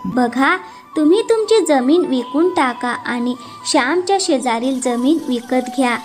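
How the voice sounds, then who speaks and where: A woman voicing an insistent, scheming village farmer's wife in an animated moral story. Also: high-pitched, a little slow, faint music behind.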